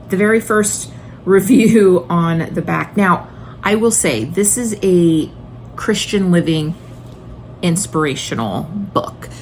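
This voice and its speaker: A woman vlogger chats in a casual, gushing way, full of enthusiasm. Her voice sits fairly low and often drops into vocal fry. There is faint noise behind her. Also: rough recording. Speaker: homeschooling video blogger and mother. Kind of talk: casual chatty book recommendation vlog.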